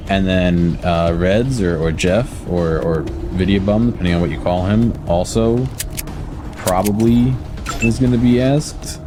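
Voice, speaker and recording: An American man with a slight lisp streaming a video game, chatting casually and answering viewers with lots of hesitant fillers. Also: sits low. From United States. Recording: game music playing behind.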